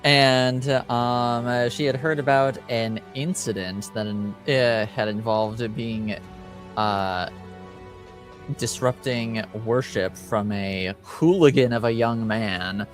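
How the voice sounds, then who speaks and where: A man acting as game master, giving a casual recap of a tabletop game in halting phrases with frequent pauses. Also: medium pitch, fairly even intonation, faint music behind. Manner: halting, pausing often between phrases.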